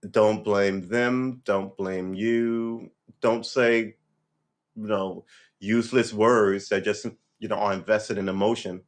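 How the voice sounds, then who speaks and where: A man in his fifties with a somewhat low voice, talking in a loose, reflective monologue. He restarts often and leans on "you know" and "right?". When he gets animated his pitch jumps up sharply. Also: slow pace. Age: 50s. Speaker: vlogger. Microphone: livestream setup.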